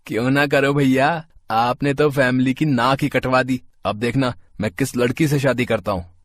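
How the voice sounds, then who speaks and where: A man's voice: mid-range voice, fairly even intonation, normal speed, dry recording, lightly acted. A man playing a boastful young younger brother who teases and gloats in a family story. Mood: mocking. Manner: teasing, gloating.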